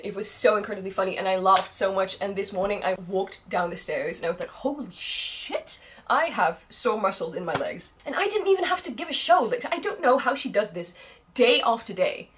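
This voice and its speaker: Young dutch-speaking woman gushing to camera, voice a bit strained from yelling, laughs a lot. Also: mid-range voice, a little hiss or hum. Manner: gushing, excitable, laughs often. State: strained voice from screaming. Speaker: vlogger. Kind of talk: casual chat to camera.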